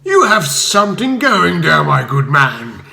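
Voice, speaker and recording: A man acting out a bullying aristocrat, pompous, declamatory, raised pitch, roomy sound, comic audiobook villain. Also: very animated, measured pace, vocal fry, bright, forceful tone, heavily acted. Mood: pompous satisfaction. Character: bullying, pompous fascist lord, blustering and domineering.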